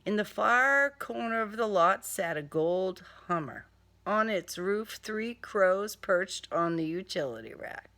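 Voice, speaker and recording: A woman's voice: mid-range voice, lively intonation, slow, fairly bright tone. A woman reading a crime story in a dramatic first-person style, with a sardonic, theatrical delivery. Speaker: author reading own crime novel.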